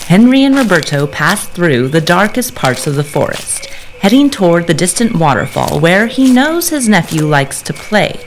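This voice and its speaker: A storyteller for a Victorian gothic audio drama, voiced by a woman, low in pitch for a female voice and highly animated. She reads scripted narration over faint background ambience. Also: normal speed, a little hiss or hum, low-quality recording. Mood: suspenseful.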